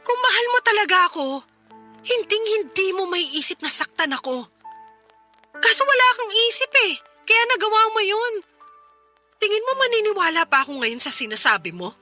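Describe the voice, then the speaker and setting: A woman's voice: very high voice, big pitch swings, a little fast, long pauses, pushed and bright, soft background music, full character voice. A woman voicing a wounded, sarcastic fiancée scolding her cheating man in a Filipino-accented radio drama. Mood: bitterly sarcastic.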